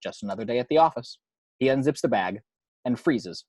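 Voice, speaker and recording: A man with a husky voice reading scripted screenplay action descriptions as narrator. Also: medium pitch, expressive, brisk, darkish tone, no room sound.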